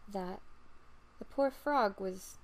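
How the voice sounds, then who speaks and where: A woman's voice: mid-range voice, takes it slowly, a little hiss or hum. A woman storyteller reading a fairy tale aloud as narration.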